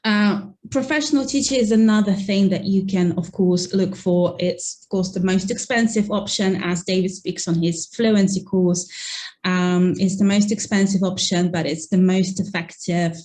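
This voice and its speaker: A woman's voice: medium pitch, fairly even intonation, moderate pace, darkish tone. Chatty woman teaching over a video call. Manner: chatty, encouraging, uses many fillers like "you know". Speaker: guest teacher in a webinar. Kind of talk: conversational webinar advice.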